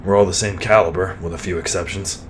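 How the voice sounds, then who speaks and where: A man playing a laid-back, protective soldier in a sci-fi audio drama, with a wry, calm, reassuring manner. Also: sits low, quick pace, a little hiss or hum, lightly acted.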